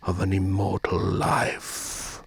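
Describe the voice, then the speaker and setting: A man playing a brooding, courteous immortal vampire prince in a dramatic audio roleplay, speaking formally and measuredly in a husky, breathy voice. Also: low voice, monotone, slow, no echo, full character voice. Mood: brooding, confiding.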